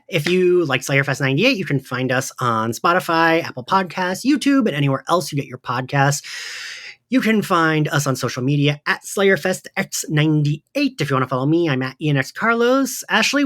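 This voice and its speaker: A man's voice: a little high, animated, normal speed, fairly bright tone. A man hosting a podcast in casual chat, informal and sweary, prone to giggling. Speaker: podcast host.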